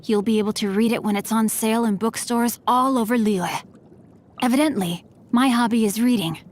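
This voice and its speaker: A woman voicing a refined, courteous young male swordsman and scholar in a game, speaking politely and formally with a gently teasing edge. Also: fairly high pitch, moderate pace, lightly acted. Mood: polite, composed. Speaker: game character voice lines. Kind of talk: scripted game voice lines.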